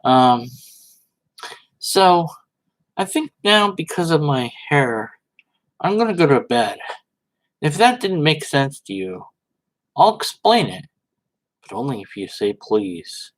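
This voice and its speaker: A man giving informal, sarcastic commentary and dramatic readings, with a halting, theatrical manner. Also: on the high side, sing-song. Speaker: host reading and commenting on social media posts.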